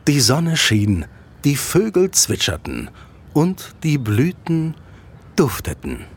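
A male storyteller narrates a radio play with drama. His slightly high voice swings very widely in pitch and takes its time. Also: huge pitch swings, takes it slowly, husky.

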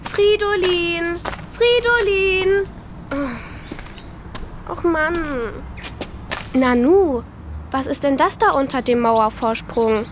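A woman's voice: very high-pitched, lively intonation, very slow, pauses often, background noise, exaggerated voice. A female performer playing an earnest young girl in a children's audio drama, thinking aloud in a childlike, simple way. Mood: searching, puzzled. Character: young girl, earnest, wistful, attached to her toy.

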